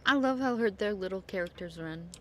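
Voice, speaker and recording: A woman's voice: mid-range voice, animated, brisk, pushed and bright, slight background noise. A woman game streamer chatting casually over gameplay with rambling asides.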